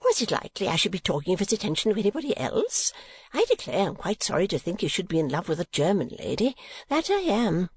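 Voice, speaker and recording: A woman's voice: on the low side, wildly swooping pitch, fast talker, husky, voice pushed up, heavily acted. A woman voicing a garrulous, gushing, easily affronted middle-aged mother in an audiobook, emphatic and exclamatory. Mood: indignant. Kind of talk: audiobook character acting.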